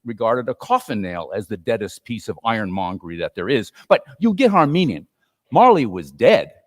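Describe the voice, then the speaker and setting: A man narrating a classic story as a dramatic reading, with a theatrical, emphatic delivery. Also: mid-range voice. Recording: reverberant hall, live reading.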